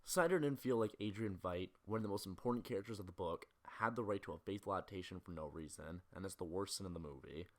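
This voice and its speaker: A man with a fairly low voice narrates a scripted video essay. He moves quickly through his analysis, speaking at a brisk clip.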